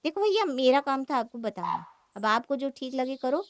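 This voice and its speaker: A woman's voice: high-pitched, big pitch swings, moderate pace, slight background noise. A woman voicing a jealous, sulky young married woman in a cartoon moral story, complaining and argumentative. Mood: sly, conspiratorial.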